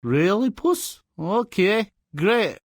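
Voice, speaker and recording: An actor voicing a sardonic, put-upon young peasant son in a fairy-tale video game. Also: male voice, high-pitched, big pitch swings, slow, no echo, studio quality, exaggerated voice. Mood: sarcastic, grumbling.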